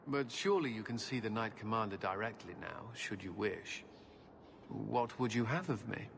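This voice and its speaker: An actor playing a grave, conflicted knight officer of a holy order, speaking formally in a fantasy game. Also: male voice, on the low side, normal speed, gravelly. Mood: solemn, troubled. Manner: formal, solemn. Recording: faint background noise.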